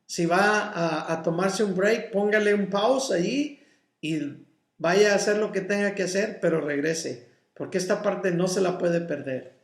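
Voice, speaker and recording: A male pastor, a native English speaker, leads a Bible study in a high, gravelly voice. He teaches slowly and deliberately, pausing to check in with his listeners. The sound rings in an echoey church room. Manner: mixes in English words.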